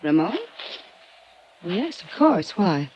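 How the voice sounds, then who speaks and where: A woman's voice: lowish voice, sing-song, slow, a little mellow, a little hiss or hum. An aloof rich daredevil girl. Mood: cool. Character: young woman, rich daredevil, cool and aloof. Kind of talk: 1950s radio drama acting. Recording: dull old radio recording.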